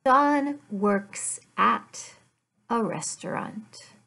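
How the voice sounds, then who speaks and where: A middle-aged woman teaching English, speaking deliberately with careful enunciation and repeating simple phrases for learners. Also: fairly high pitch, creaky, bright, forceful tone. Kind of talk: slow, simplified language lesson read aloud. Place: furnished room at home.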